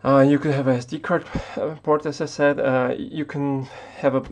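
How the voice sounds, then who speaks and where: A man's voice: mid-range voice, flat delivery, normal speed, a little hiss or hum. A man from Austria, not an English speaker, talking unscripted to the camera as a tech YouTuber, hesitant with many restarts.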